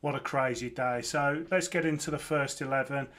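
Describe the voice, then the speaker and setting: A man's voice: medium pitch, steady tone, normal speed, a bit bright. Chatty man with a Birmingham accent. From Lancashire. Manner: chatty, enthusiastic, frequent fillers and restarts. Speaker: football podcast host. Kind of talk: casual match reaction monologue. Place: home room with framed shirts, indoors.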